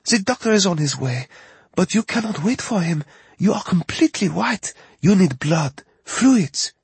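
Man doing a young Frenchwoman, French accent, high and urgent, halting formal English, husky, dry close sound. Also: animated, a little mellow, no room sound, full character voice. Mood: alarmed. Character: young French woman, veterinary assistant, anxious.

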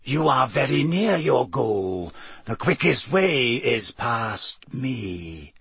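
A man voicing an enigmatic, calmly menacing female sphinx in a storybook, reciting slowly and deliberately in a hoarse voice. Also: mid-range voice, dry recording, heavily acted. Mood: calm menace. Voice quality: hoarse, breathy. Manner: slow, deliberate, theatrical recitation.

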